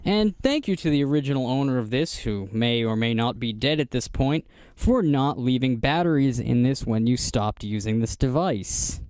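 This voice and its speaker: Clear-voiced American man, casual and dry-sounding. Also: medium pitch, expressive, moderate pace, few pauses, darkish tone, no room sound. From United States. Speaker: vlogger showing vintage radio gear. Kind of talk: casual unscripted show-and-tell narration.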